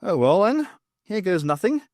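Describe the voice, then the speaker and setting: A man doing a brisk heroine voice. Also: sits high, very animated, darkish tone, dry recording, studio quality, voice pushed up. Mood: resolute. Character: brisk adult woman archaeologist heroine.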